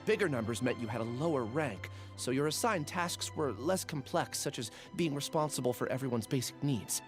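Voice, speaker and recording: An actor plays a young man in a video game, troubled and earnest. His pitch is average, and faint music sits behind him. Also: animated, normal speed, close to an everyday voice. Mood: troubled recollection. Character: earnest, troubled young male test subject.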